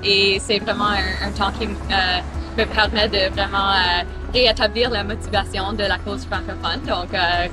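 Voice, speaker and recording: A woman's voice: mid-range voice, steady tone, measured pace, poor audio. A woman, not a native French speaker, giving a spontaneous testimonial. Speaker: lawyer, congress member. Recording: voice under clear music.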